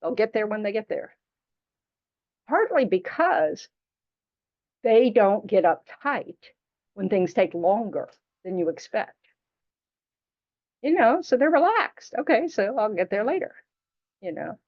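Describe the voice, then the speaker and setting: A woman's voice: medium pitch, very animated, unhurried, pauses often. Chatty woman teacher, dull recording. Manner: chatty, frank, teasing, full of "you know". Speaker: Buddhist teacher. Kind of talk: casual dharma teaching to a class.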